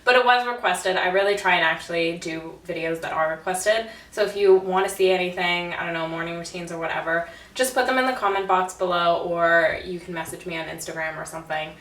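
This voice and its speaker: A woman's voice: on the low side, fairly even intonation, a little fast, hardly any pauses, fairly bright tone. Congested young woman chatting in an echoey bathroom. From Canada. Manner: chatty and self-deprecating, laughs at herself. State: stuffed up and congested. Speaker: beauty vlogger. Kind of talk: casual chat to camera. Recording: roomy, slightly distant audio.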